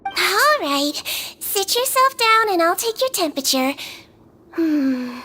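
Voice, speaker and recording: A woman voicing a small, gentle creature who works as a nurse, speaking softly with a sly, teasing kindness. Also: very high-pitched, animated, slow, a little hiss or hum, exaggerated voice. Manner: soft, kindly, quietly teasing. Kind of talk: game voice acting. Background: music.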